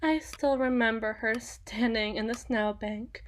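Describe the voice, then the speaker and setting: A woman performs a female demon who bargains for souls with sadistic relish. Her voice is fairly high and she speaks slowly, in deliberate, taunting, theatrical phrases. It is an ASMR roleplay monologue, with clear noise in the recording. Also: close to an everyday voice. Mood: musing, sly.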